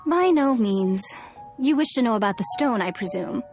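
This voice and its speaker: A woman's voice: a little high, lively intonation, moderate pace, music in the background. An actress playing a poised, aristocratic female ruler in a video game, formal, composed and coolly teasing.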